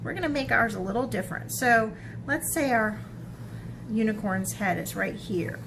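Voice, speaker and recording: A woman with a somewhat high voice guides children through a drawing step by step. She is encouraging and informal, often thinking aloud as she works. Clear ambient noise runs behind her speech. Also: normal speed. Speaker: children's art teacher. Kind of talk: step-by-step drawing lesson with a storybook read-aloud.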